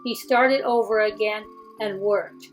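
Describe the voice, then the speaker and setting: A woman giving a dramatic, deliberate storybook narration. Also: medium pitch, steady tone, faint music behind, rough recording. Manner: slow, deliberate reading. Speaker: storybook reader.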